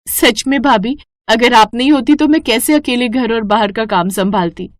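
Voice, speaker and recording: A woman's voice: on the high side, normal speed, dry recording, clean studio sound, natural voice. A woman voicing a polite, earnest young food-seller in a cartoon moral story.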